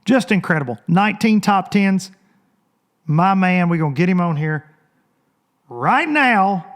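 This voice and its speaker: A man hosting a casual podcast, hoarse and rambling, chatting loosely to his listeners into a microphone. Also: sits high, animated, measured pace. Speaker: podcast host. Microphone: studio microphone.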